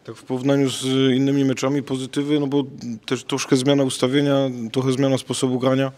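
A man's voice: medium pitch, little pitch movement, quick pace, darkish tone. A man asking a player short post-match interview questions for a club channel.

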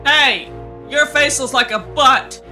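A woman's voice: high voice, slow, pushed and bright, soft background music, heavily acted. A woman voicing a whiny, goofy, childish video game character in a comedic fan dub, drawing out the words. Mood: indignant.

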